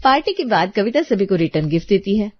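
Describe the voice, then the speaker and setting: A woman's voice: mid-range voice, sing-song, quick pace, dull audio. A woman reading scripted narration for a story.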